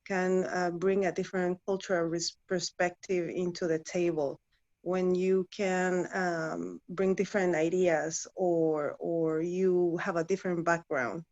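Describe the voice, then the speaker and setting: A woman in her thirties from Guatemala, speaking English as a second language after Spanish, talks slowly and haltingly, restarting her phrases. Her voice sits fairly low. The audio is a bit dull and muffled. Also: flat delivery, darkish tone. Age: 30s. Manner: halting, many restarts and fillers. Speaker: interview guest, former client. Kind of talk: casual interview chat.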